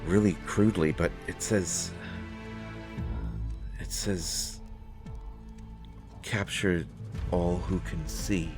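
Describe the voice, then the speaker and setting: A nervous, bookish man surviving in a science-fiction world , acted by a man. His low voice moves slowly, stumbling and stammering as he searches for words. It is performed as an intimate solo audio drama. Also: steady tone, over music. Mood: grave, worried. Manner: hesitant, stammering, halting. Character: nervous, bookish male survivor of a sci-fi wasteland. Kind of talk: scripted sci-fi audio roleplay, solo acting.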